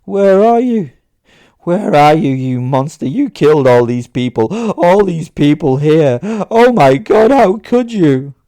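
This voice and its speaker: A man's voice: on the high side, expressive, slow, warm tone, dry recording, pitched above the performer's own voice. A man voicing a terrified, tearful stage psychic in a horror story, stammering and repeating himself in anguish. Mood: anguished accusation. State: crying, distressed. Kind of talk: dramatic audio horror story acting.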